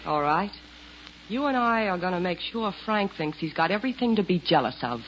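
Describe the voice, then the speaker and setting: An actress playing a bold, taunting nightclub singer in a 1940s radio melodrama, with a challenging, dramatic delivery. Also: female voice, on the low side, animated, a little fast, lightly acted. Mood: urgent, determined. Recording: dull vintage radio recording with noise.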